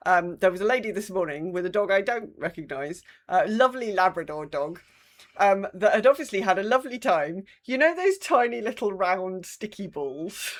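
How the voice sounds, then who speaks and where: A woman's voice: medium pitch, animated, normal speed. A woman with a British accent chatting casually as a podcast co-host, with a wry, dry sense of humour. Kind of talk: casual chat.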